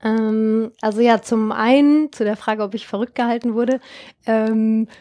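Woman, 20s, from Germany, casual interview, chatty with fillers, slightly high voice. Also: fairly even intonation, a little slow, mellow. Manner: chatty, many fillers and restarts.

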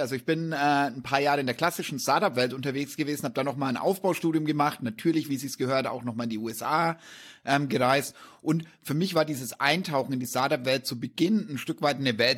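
A man co-hosting a podcast in casual conversation, talking in a thoughtful, measured way with frequent fillers. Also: a little high, slightly rough. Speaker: podcast co-host.

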